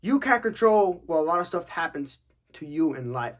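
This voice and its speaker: A young man from Texas with a slightly high voice chats as a podcast host. He takes listener questions in a loose, joking manner, peppered with slang and swearing. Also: big pitch swings, moderate pace. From United States, Texas. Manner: laid-back, slangy, profane, joking. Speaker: podcast host, active-duty military. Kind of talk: casual Q&A podcast chat, answering listener questions.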